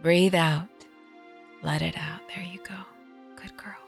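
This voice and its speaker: A woman voicing a calm, wise elderly aunt with fangs, speaking in a hushed, breathy, soothing way. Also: on the low side, measured pace, soft background music. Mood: tender. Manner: soft, steady, soothing.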